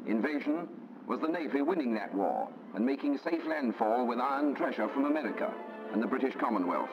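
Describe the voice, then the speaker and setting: A man's voice: medium pitch, animated, fairly quick. A man narrating a 1940s wartime newsreel in an emphatic, declamatory way. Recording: dull old newsreel soundtrack with music.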